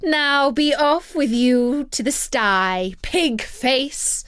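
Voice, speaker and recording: A woman's voice: high-pitched, animated, extremely slow, fairly bright tone, no echo, clean studio sound, voice pushed up, exaggerated voice. Woman voicing a theatrical, coaxing enchantress witch. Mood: scornful. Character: beautiful seductive witch enchantress, wheedling and commanding. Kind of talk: storybook character voice.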